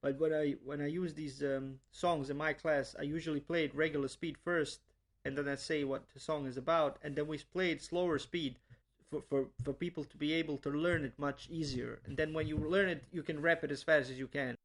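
A man's voice: fairly high pitch, steady tone, a little fast. A young man from Bosnia, a language teacher, answering interview questions earnestly with many restarts and repeated words.

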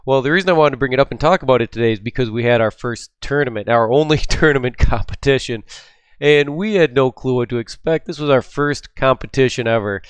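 A man's voice: medium pitch, a little fast, clean tone, no echo. Solo podcast host, man, middle-aged, nasal and stuffed up, upbeat and rambling, resonant, no room sound. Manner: chatty and upbeat, with frequent ums. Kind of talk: casual solo podcast talk.

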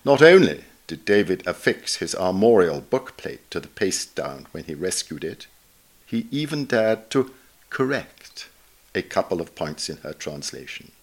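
Low-pitched man reading a literary article. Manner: measured, formal, reading punctuation and dates aloud. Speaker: reader of a recorded article.

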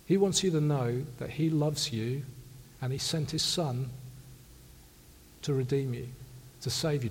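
A man from South Wales with a South Welsh accent, preaching an earnest sermon that swings from loud emphasis to hushed intimacy. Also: fairly high pitch. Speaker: pastor. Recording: long reverb of a large hall.

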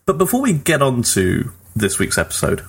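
A man's voice: mid-range voice, wildly swooping pitch, normal speed, creaky, husky. A man hosting a casual group chat show, talking in an informal, conversational way. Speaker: podcast host. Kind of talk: casual group chat about films and games.

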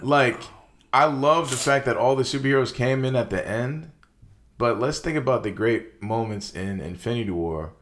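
A young man co-hosting a podcast, talking loosely about movies in slangy, animated casual chat with lots of fillers. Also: mid-range voice, moderate pace, slight background noise. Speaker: podcast co-host.

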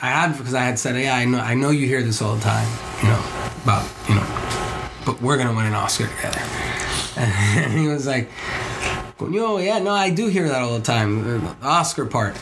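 A man's voice: moderate pace, gravelly, over music, rough recording. Puerto Rican man telling a rambling story. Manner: rambling with frequent restarts, voices others in quotes with higher pitch. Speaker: podcast guest, filmmaker. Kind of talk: casual storytelling interview.

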